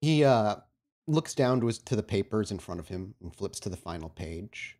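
A man's voice: fairly low pitch, lively intonation, moderate pace, a little mellow. A man working as game master, casually improvising narration for a tabletop roleplaying game.

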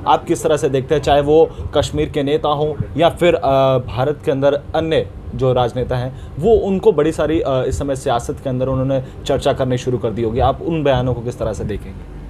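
A man's voice: mid-range voice, moderate pace, dark tone. A man, speaking formally and wordily as a local news interviewer. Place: small indoor office, seated on a sofa. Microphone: handheld reporter mic. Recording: light background room noise.

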